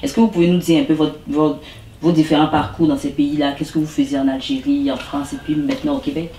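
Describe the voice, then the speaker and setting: Woman interviewer, attentive and steady, echoey room, mic at a distance. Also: low voice, fast talker, slight background noise. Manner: attentive, frequent short backchannels. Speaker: host, interviewer. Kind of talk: interview questions.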